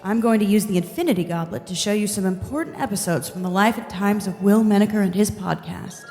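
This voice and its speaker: A woman playing a casual, wry, deadpan God narrating a comedic radio play. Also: medium pitch, fast, big-room sound, music in the background, lightly acted. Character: blasé, crude, wry God in heaven.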